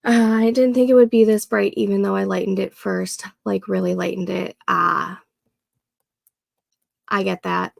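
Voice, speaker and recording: A congested woman in her thirties chats unhurriedly while she works on her planner. Her voice has a touch of fry and sounds a little nasal, close and dry on the mic. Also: mid-range voice, little pitch movement, dry recording. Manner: slow, chatty, talks to herself while setting up a planner. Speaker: co-working livestream host. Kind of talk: casual chat while working.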